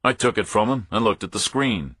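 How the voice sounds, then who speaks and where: A man's voice: fairly low pitch, lively intonation, fairly quick, a bit bright, husky, no echo. A man narrating a first-person thriller audiobook in a scripted storytelling style.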